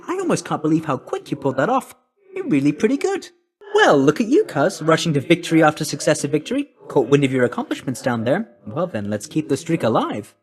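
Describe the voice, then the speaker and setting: A man voicing a brisk, cheeky young messenger god in a video game, glib, breezy and chummy. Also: high-pitched. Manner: glib, jaunty, chatty salesman-like patter. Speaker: video game character voice actor. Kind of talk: animated video game character dialogue.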